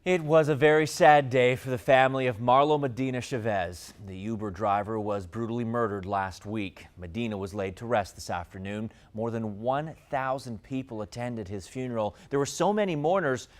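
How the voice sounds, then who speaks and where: A man's voice: medium pitch, normal speed, hardly any pauses. A man with an American accent reading scripted television news as an anchor.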